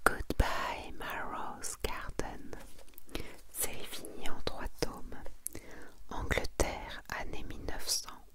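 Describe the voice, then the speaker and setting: Woman, whispered ASMR, soft and hushed, very unhurried pace, presenter reading aloud. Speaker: ASMR video creator presenting a manga collection.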